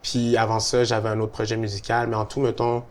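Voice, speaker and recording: A man's voice: on the low side, brisk, a little hiss or hum. A young man with a Quebec French accent, a musician chatting casually and reflectively about his career. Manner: relaxed, reflective, many fillers. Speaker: musician guest. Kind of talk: casual conversation.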